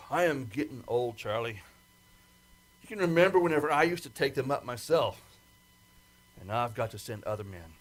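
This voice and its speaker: A man's voice: medium pitch, expressive, normal speed, pauses often, natural voice. An actor playing a worried, weary male flight control chief in an old-time radio drama, urgent and stammering. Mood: weary, grieving.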